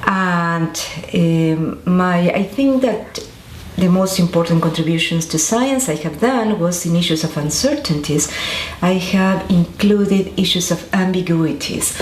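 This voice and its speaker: Brief: Argentine-born woman, lowish voice, halting interview answers, echoey room with faint noise. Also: a little mellow. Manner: thoughtful, many restarts and fillers. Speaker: interviewee, scientist.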